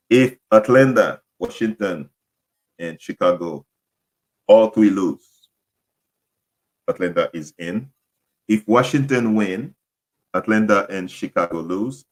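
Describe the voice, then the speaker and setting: A man hosting a solo podcast, speaking English as a second language after French and Haitian Creole, rambling and correcting himself as he goes. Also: sits low. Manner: rambling, self-correcting, apologetic. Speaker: podcast host. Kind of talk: casual solo sports talk.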